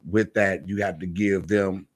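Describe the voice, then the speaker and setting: Deep-voiced American man, slangy and plain-spoken. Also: steady tone, measured pace. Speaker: stage playwright and director, radio guest. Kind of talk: casual radio interview.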